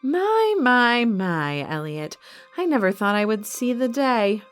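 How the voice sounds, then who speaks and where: Villainess, non-human arena boss: imperious, cold, animated pitch, threatening, audio drama with music underneath. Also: female voice, clean tone. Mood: mocking. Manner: haughty, menacing, measured threats.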